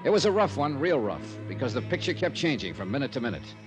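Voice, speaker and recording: Radio detective lead , played by a man: American, wry, tough, clipped, widely animated delivery over music. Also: medium pitch, fast, lightly acted. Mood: brisk, wry. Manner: wry, clipped, hard-boiled. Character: wry, tough American freelance insurance investigator. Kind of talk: 1950s radio detective acting and narration.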